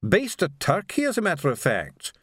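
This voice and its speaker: A man's voice: high-pitched, huge pitch swings, moderate pace, fairly bright tone, breathy edge, dry recording, studio quality, voice pushed up, heavily acted. A man voicing a startled, flustered middle-aged male doctor.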